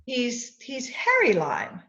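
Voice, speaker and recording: Radio-play fiancee, woman actor: haughty, exasperated, theatrical, animated delivery over muffled call audio. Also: fairly high pitch, slow pace, full character voice. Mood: incredulous. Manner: theatrical, insistent, scolding. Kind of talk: old-time radio drama acting. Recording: dull video-call audio.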